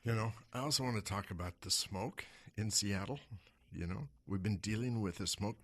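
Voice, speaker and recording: A man hosts a radio program on money, his voice hoarse and husky. He speaks in a fairly low register, leaning on rhetorical questions with lively rises and falls. The sound is close studio microphone. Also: normal speed. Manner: rhetorical questions, animated pitch swings. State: hoarse from smoke. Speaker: radio show host and investment advisor. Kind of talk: talk radio monologue.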